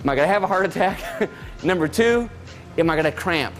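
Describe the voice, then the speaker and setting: A man's voice: high-pitched, a little fast. Man telling a keynote story. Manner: conversational, animated storyteller. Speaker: keynote speaker.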